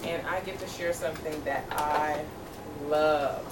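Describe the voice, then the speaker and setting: A woman's voice: low voice, fairly even intonation, measured pace. A woman teacher reading a picture book to young children, encouragingly asking questions and repeating their answers back. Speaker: teacher reading aloud to second graders. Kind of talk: interactive storybook read-aloud with questions. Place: room with a group of children. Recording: distant, echoey, noisy room recording.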